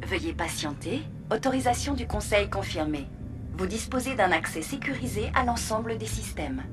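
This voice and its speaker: A woman voicing a calm, polite artificial intelligence in a video game, speaking in measured, procedural announcements. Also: mid-range voice, over music, close to an everyday voice. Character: calm, formal female artificial intelligence of a research complex.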